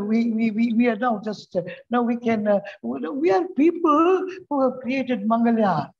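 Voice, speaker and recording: Halting older Indian man, lo-fi. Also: high-pitched, vocal fry, a little mellow. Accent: Indian English. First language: Tamil. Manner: halting, many "uh" fillers and restarts. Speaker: literature teacher. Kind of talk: speech to students. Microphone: remote talk, unseen audience.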